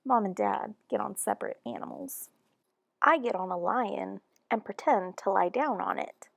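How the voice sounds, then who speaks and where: A woman reading a children's storybook aloud in an expressive, deliberate and animated narration. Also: mid-range voice, vocal fry, dry recording, clean studio sound. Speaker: children's book reader.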